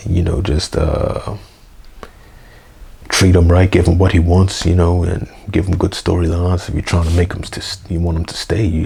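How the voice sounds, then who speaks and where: A young man, hoarse, rambling through a casual unedited review vlog with lots of fillers and slang. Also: sits low, dark tone. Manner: rambling, full of fillers like "you know" and "man". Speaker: wrestling review vlogger. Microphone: basic mic, plans a new one.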